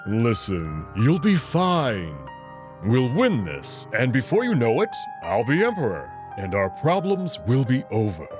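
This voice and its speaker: A man's voice: medium pitch, huge pitch swings, a little slow. A man doing Amicus, a confident wolf heir. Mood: reassuring. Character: confident young male wolf heir, reassuring. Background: background music.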